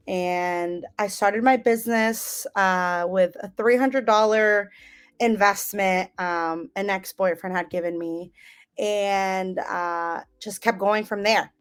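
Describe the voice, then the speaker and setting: A young woman from the United States telling her life story as an interview guest, relaxed and rambling with lots of ums. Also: medium pitch, slow pace, clean tone. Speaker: guest, business owner.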